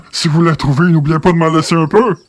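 An actor playing a crude, leering manhunter in an audio drama, with a coarse, jovial manner. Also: male voice, on the high side, fairly even intonation, fairly quick, close to an everyday voice. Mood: crude amusement. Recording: noticeable background noise.

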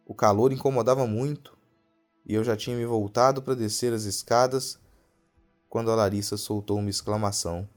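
A man's voice: fairly low pitch, steady tone, brisk, faint music behind. A man with a Brazilian accent narrating a scripted horror story in a dramatic storytelling style.

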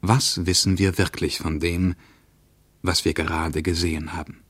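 Casting: contemplative male diarist, deep voice, dry intimate sound, literary radio monologue. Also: level tone, fairly bright tone, slightly rough, no room sound, full character voice. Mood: pensive. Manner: contemplative, measured. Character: pensive male traveller reading his diary.

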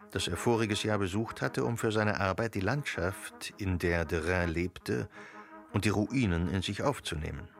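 Radio play narrator: man, gravelly, measured literary storytelling, close dry studio, soft music underneath. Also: low voice.

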